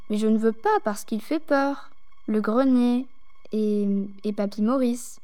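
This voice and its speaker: Candid little girl, acted by a woman, high-set voice. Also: darkish tone. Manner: simple childlike phrasing, matter-of-fact. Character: candid little girl reading her diary, naive, earnest. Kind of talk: diary monologue in audio drama.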